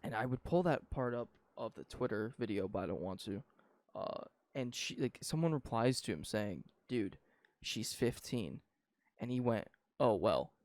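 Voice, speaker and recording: A man's voice: on the low side, expressive, moderate pace, a little mellow, dry recording, studio quality. A nineteen-year-old man from Canada, co-hosting a podcast in casual, profane, rambling opinion chat. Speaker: podcast co-host.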